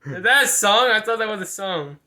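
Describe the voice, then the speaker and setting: An excitable speaker chatting casually while playing songs for a friend. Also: mid-range voice, brisk.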